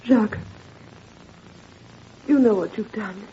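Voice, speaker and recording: A woman's voice: mid-range voice, slow pace, natural voice. An actress playing a distraught wife and mother, imploring and melodramatic in 1940s radio style. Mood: distraught, pleading. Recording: muffled, hissy 1940s radio recording.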